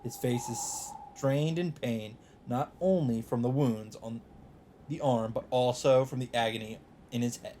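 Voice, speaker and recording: A man narrating a visual novel as a let's play host, reading the text aloud in a deliberate, emphatic, dramatic style. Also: medium pitch, mellow, a little hiss or hum.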